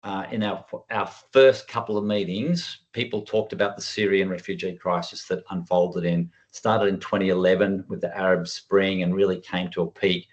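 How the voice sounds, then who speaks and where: A man's voice: low-pitched, level tone, moderate pace, hardly any pauses, muffled recording. A man giving an informal online presentation as a workshop presenter, in a conversational, self-deprecating way. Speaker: workshop presenter, working group chair. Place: online video call.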